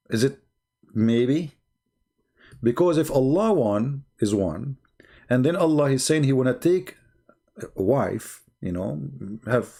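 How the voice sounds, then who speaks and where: A man hosting a live stream and chatting to his viewers. He talks in a slow, halting way, often repeating himself as he goes. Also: medium pitch, a little mellow. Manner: slow, halting, repeats phrases and fusses with his mic settings. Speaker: livestream host. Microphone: desk microphone at a computer.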